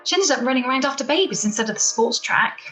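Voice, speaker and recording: A woman's voice: high voice, lively intonation, fast, sharp tone, soft background music. A woman playing a chatty small-town gossip who tells scandal dramatically in an amateur radio play. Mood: gossipy, animated. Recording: roomy, echoey home video-call recording.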